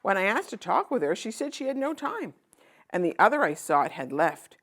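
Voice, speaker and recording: Fatherly storybook king in merchant's disguise, read by a woman: gentle, reflective, widely varied pitch. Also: big pitch swings, moderate pace. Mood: matter-of-fact. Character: kind, weary traveling king disguised as a merchant. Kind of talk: children's storybook read-aloud.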